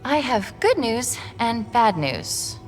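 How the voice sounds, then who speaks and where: High, lively AI companion. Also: female voice. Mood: bright, curious. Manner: playful, quick-witted, expressive. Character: chirpy, curious young female AI companion. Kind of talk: cinematic video game acting. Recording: music behind the voice.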